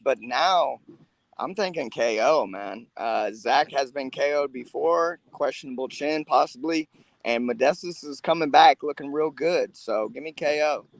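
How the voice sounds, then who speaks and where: A mid-pitched man with a gravelly, crackly voice gives confident picks in short bursts. The sound is close and dry, with no room echo. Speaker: guest panelist.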